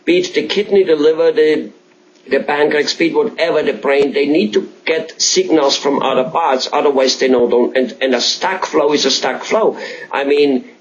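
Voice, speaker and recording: A man's voice: on the high side, moderate pace, highs cut off, a little hiss or hum. A man from Austria interviewing a doctor, talking in a rambling way with many fillers and restarts. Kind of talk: casual interview chat.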